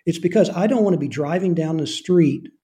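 A soft-spoken man, an author and former intelligence officer, answering interview questions in an earnest way. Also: fairly high pitch, fairly quick.

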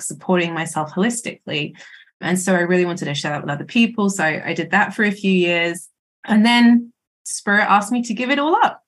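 A woman's voice: fairly low pitch, a bit bright. A woman from the UK with a British accent, a guest on a podcast talking openly and earnestly. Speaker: guest, business operations lead. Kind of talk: casual podcast interview.

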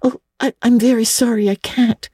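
A woman's voice: a little high, steady tone, normal speed, mellow, breathy edge, no room sound, clean studio sound, voice pushed up. A woman voicing an eager, earnest orphan girl in a storybook reading, talkative and polite, with breathless stammers.

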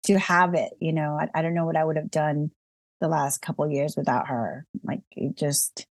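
A woman's voice: deep voice, steady tone, normal speed, no echo. A middle-aged woman from Colombia, a guest in a casual interview, talking in a reflective, emotional way and laughing often.

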